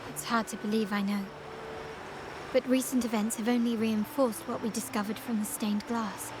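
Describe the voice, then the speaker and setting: An actress playing a poised, hospitable yet menacing woman of a powerful family in a video game, speaking formally and deliberately. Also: fairly high pitch, steady tone, background noise, lightly acted. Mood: serious, explaining. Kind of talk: video game story voice acting. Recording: music playing behind the voice. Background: game music.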